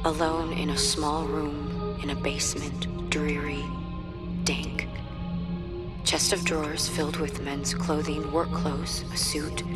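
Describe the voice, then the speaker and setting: Entranced young woman narrating a dream, very slow n flat, loud music behind. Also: low voice. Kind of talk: dramatic first-person narration.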